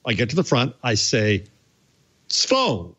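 A man co-hosts a casual talk show and tells his stories with a wry, dry humor. His voice sits at an ordinary middle pitch, and his delivery is loose and conversational. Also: wildly swooping pitch, slow, fairly bright tone, breathy edge, highs cut off. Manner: wry anecdotal storyteller. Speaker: co-host. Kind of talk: casual storytelling.

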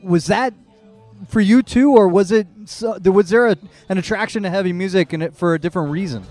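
A man hosting a podcast, asking questions haltingly as he searches for words. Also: sits high, a little mellow, dry recording, soft background music. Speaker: podcast host. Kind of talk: interview questions.

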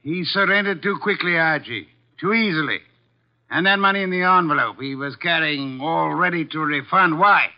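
An actor playing an imperious, irritable, money-minded detective in a 1950s radio drama, speaking with pompous, formal, precise diction. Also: male voice, a little high, moderate pace, natural voice. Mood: irritable, dismissive. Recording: dull, band-limited 1950s radio recording.

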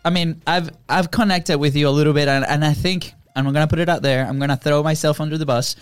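Higher-pitched man, chatty and effusive. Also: fairly even intonation, quick pace, smooth, no room sound. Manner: effusive, repeats words and phrases. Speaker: podcast co-host. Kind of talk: casual, chatty live show hosting.

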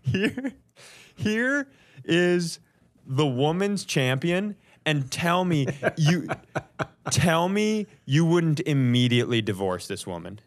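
A man's voice: a little high, very animated, slow. A man hosting a comedy podcast with casual, crude joking banter and a chuckling delivery.

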